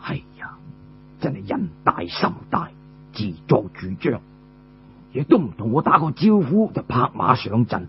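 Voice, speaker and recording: Man voicing a low, halting old commander. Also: sing-song, dull audio, slight background noise. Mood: grumbling. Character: elderly male army commander, weighty, privately grumbling father.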